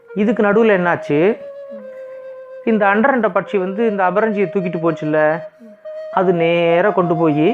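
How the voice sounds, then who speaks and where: High-voiced man telling a bedtime story. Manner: conversational, explains simply. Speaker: children's storyteller. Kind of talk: informal bedtime story narration. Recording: thin phone-like sound, music behind.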